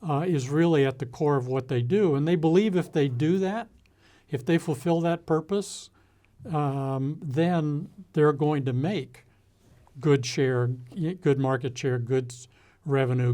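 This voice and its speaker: An older American man giving a reflective interview, speaking in a measured, halting way with deliberate pauses. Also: mid-range voice, dark tone. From United States. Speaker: interview guest, retired executive and former naval officer.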